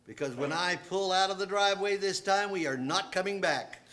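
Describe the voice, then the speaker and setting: A man plays a long-suffering dad in a family Christmas radio play. His voice is high for a man, and he sounds exasperated and literal-minded. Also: brisk, sharp tone. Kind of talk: amateur Christmas radio skit acting.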